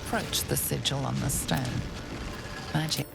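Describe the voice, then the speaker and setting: A woman voicing the narrator of a dark fantasy game, speaking low and breathy, often near a whisper. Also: a little slow, heavily acted, rough recording. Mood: ominous. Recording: game audio under loud music.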